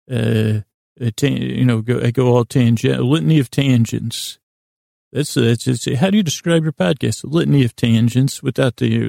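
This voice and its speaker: Man, very creaky gravelly voice, dark mellow tone, halting stammering delivery, meandering bedtime monologue, self-deprecating. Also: mid-range voice, dry recording, studio quality. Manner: halting, stammering, meandering, keeps restarting words. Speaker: host of a bedtime sleep podcast. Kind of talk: rambling, tangential bedtime monologue.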